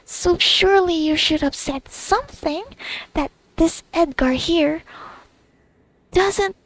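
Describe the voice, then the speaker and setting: A woman's voice: very high voice, takes it slowly, no echo, low-quality recording. A woman giving casual game playthrough commentary, rambling and thinking aloud in fragments, close to the mic.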